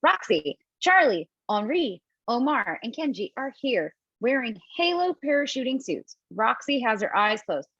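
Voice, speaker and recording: A woman's voice: fairly high pitch, big pitch swings, a little slow, few pauses, a bit bright, breathy edge, dry recording. A woman reading the stage directions of a script in an online table read, in a plain, matter-of-fact way. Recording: dry, dull home mic.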